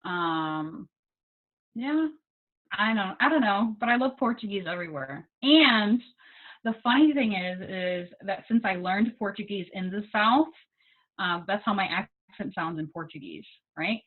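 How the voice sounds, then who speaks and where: A young American woman talks casually with a class over a video call, full of ums and likes. Her voice sits at an ordinary pitch for a woman. The sound is thin and tinny, as if through a phone. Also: expressive, a little slow. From United States. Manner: chatty, with many ums and likes. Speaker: guest English teaching assistant. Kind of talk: casual conversation with students.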